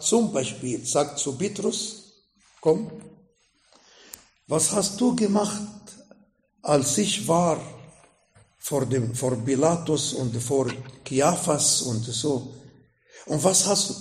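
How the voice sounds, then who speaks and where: A man with an Arabic accent preaching a sermon in slow, emphatic phrases that rise sharply in pitch. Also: darkish tone, reverberant. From Middle East. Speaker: pastor.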